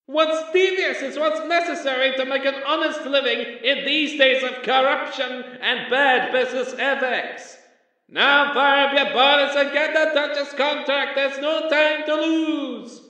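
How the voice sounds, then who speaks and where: A man's voice: very high-pitched, steady tone, unhurried, bright, forceful tone, full character voice. Echoing, menacing villain fleet boss played by a man. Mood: scheming, irritated. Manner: menacing, sarcastic. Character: scheming, short-tempered villainous male tug fleet boss. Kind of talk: cartoon villain voice acting. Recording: echoing, distant megaphone-style sound.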